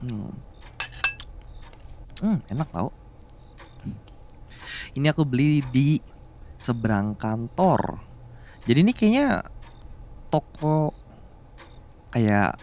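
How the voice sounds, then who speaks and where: A young man with an Indonesian accent playing a shy, adoring office worker in love with his boss, in an intimate ASMR roleplay, speaking haltingly and affectionately. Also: mid-range voice. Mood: sheepish, casual. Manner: hesitant, halting, softly affectionate. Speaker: voice actor in an audio roleplay.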